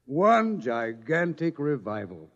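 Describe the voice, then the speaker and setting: An actor playing a measured but expressive scientist, explaining things deliberately and theatrically in a 1950s radio drama. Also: male voice, fairly high pitch, highs cut off. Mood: musing. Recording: reverberant 1950s radio recording.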